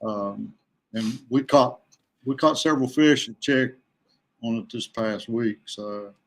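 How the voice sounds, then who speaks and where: A man in his sixties chatting casually as a podcast guest, folksy and halting, often repeating himself. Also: mid-range voice. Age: 60s. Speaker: guest, veteran kayak angler. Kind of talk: casual fishing chat.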